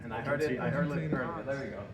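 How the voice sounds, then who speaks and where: A young man from Honduras giving a lively, joking talk and quizzing a live audience. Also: medium pitch, rapid-fire, over a noisy crowd. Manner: joking, quizzing the audience, chuckling at own jokes. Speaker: presenter. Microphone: room mic from a distance. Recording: echoey hall recorded from far off, background noise.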